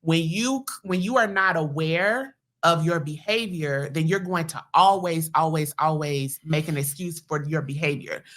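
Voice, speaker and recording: A middle-aged woman with a Southern American accent, hosting a podcast and chatting casually with her husband, loud and emphatic, laughing often. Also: sits low, unhurried, hardly any pauses. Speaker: podcast host.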